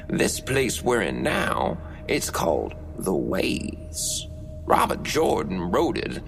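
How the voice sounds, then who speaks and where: A man's voice: fairly low pitch, gravelly, heavily acted. A man voicing a genial, eerie underworld creature who parodies a televangelist in a courteous, folksy manner. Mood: folksy, unhurried. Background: faint music.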